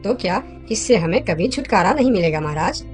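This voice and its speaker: A woman's voice: mid-range voice, lively intonation, fairly quick, natural voice. A woman voicing a clever, confident young male monkey for a children's cartoon, with music under the voice. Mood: confident, reassuring.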